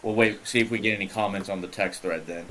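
A man's voice: on the low side, fairly even intonation, fast, bright, forceful tone, a little hiss or hum. Laid-back man, rambling host. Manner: rambling, laid-back, full of "you know" fillers. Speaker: podcast host. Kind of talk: casual interview chat.

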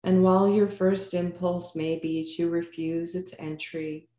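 Slow, low-voiced woman guiding meditation. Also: reverberant. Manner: calm, unhurried, short measured phrases with pauses. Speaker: yoga nidra meditation teacher. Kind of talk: guided meditation narration.

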